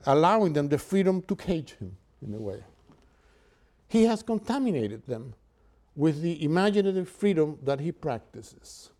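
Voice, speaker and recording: Brief: Cuban-accented professor lecturing, warm, mellow tone, halting delivery. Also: male voice, a little high. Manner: halting, with many filler sounds. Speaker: literature lecturer. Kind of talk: university lecture.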